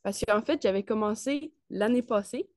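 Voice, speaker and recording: A woman's voice: on the high side, normal speed, dry recording, clean studio sound. A woman of nineteen with a Quebec French accent, chatting casually and enthusiastically as an interview guest in colloquial speech. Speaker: interview guest, network marketer. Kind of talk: casual chat.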